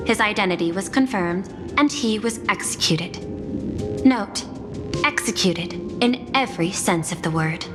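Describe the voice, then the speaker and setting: A woman's voice: mid-range voice, lightly acted. A woman acting a composed, calculating, machine-minded corporate chief in a video game, speaking formally and with cool precision. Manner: formal, measured, coolly precise. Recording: long hall reverb, music behind.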